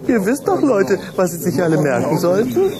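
A chuckling know-it-all puppet, voiced by a performer lectures the others with a lively, bouncing voice. A very loud children's audience fills the background. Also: medium pitch, normal speed, over a noisy crowd, lightly acted. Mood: instructive. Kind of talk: children's puppet show audio play.

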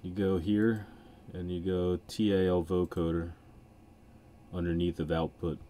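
A man hosting a casual software tutorial in an informal, offhand way. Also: low-pitched, little pitch movement, unhurried, slight background noise. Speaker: music software tutorial host. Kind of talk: casual screen-recording tutorial.

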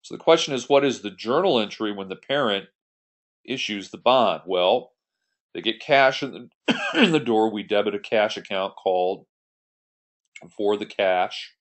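A man's voice: on the low side, expressive, unhurried. A man giving an explanatory tutorial as an accounting instructor, working through an example step by step in a conversational way. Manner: step-by-step, conversational, poses questions then answers them. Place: voice-over on a screen recording.

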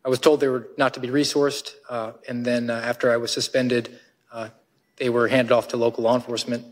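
Quick, flat-voiced man in a hall. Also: medium pitch. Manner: brisk, plain factual answers. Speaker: whistleblower witness. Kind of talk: hearing testimony. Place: congressional hearing room. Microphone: desk microphone. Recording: reverberant hearing room.

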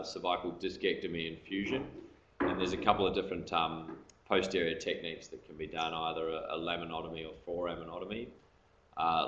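Male registrar, very low voice, halting lecture delivery, roomy recording. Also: fairly bright tone, lo-fi sound. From Australia. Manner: hesitant, many ums and uhs, trailing off at phrase ends. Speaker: orthopaedic registrar presenting. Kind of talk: recorded medical lecture over slides. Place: hospital lecture room. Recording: echoey room, faint background hiss.

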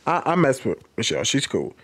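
A man's voice: medium pitch, sing-song, brisk, fairly bright tone, breathy edge. An American man in his thirties giving casual opinion commentary as a host, conversational and often posing questions to himself. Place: small home room studio. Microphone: microphone on boom arm.

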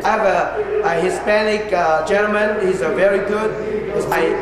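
A man's voice: high voice, fairly even intonation, over a noisy crowd. Man with broken English in echoey shop. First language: Chinese. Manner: halting, broken English with many restarts. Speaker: auto body shop owner. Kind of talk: casual on-camera interview. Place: indoor auto body shop, then outdoor lot.

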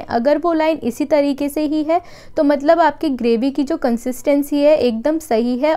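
A woman giving a calm, patient step-by-step voice-over for a recipe video in her kitchen. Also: high voice. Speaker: cooking channel host.

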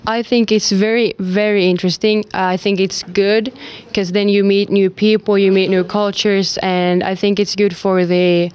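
A woman's voice: mid-range voice, little pitch movement, moderate pace, hardly any pauses, darkish tone, smooth. A young woman from Finland whose first language is Swedish, answering interview questions in English with hesitant fillers in a school classroom. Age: young adult. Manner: hesitant, with frequent fillers and restarts. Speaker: exchange student.

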